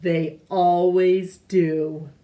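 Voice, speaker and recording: Very slow-paced woman reading a storybook. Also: lowish voice, flat delivery, dark tone, muffled recording. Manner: slow, deliberate, pausing between phrases. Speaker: storytime reader. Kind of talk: children's picture book read-aloud.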